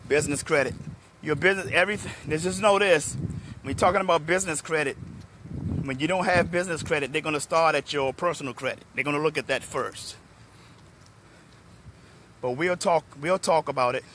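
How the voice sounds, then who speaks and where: A middle-aged man from Washington, D.C., a motivational speaker, talking hoarsely and chattily to viewers on a phone held at arm's length outdoors. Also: fairly high pitch, fairly quick, pauses often, slight background noise. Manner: relaxed, chatty, addresses viewers by name. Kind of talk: live-streamed talk to viewers. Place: outdoors in a parking lot under trees.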